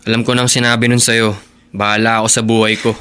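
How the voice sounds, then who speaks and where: Quick-talking earnest young man. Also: fairly low pitch, little pitch movement, pushed and bright, smooth. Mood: resigned, firm. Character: young working man, meek but stubbornly devoted. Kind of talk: radio drama acting.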